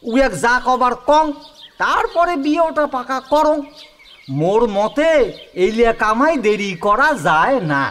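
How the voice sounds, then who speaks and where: A man's voice: very high-pitched, lively intonation, measured pace, fairly bright tone, slight background noise, heavily acted. A man playing a greedy village schemer. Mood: scheming. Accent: Kamtapuri. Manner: scheming, wheedling, bargaining. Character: greedy middle-aged village man haggling over a dowry. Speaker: Sanatan, a scheming matchmaker's ally. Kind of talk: rural village radio play acting.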